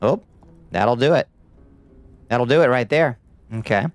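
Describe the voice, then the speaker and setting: Smooth-voiced man with a light Southern twang. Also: mid-range voice, animated, a little slow, no echo. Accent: light Southern US twang, Georgia. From United States. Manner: laid-back drawl, wry, talks to chat while playing. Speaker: streamer. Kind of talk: casual live gameplay chat.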